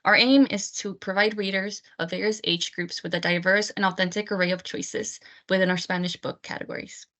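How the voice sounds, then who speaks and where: A woman's voice: mid-range voice, steady tone, a little fast, fairly bright tone. Woman reading a scripted book talk. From United States (Texas). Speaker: book selection editor. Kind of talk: prepared book talk presentation.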